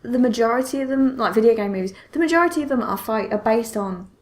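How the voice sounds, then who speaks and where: Female podcast host, high voice, casual and halting, emphatic repeats. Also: darkish tone. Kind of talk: casual chat.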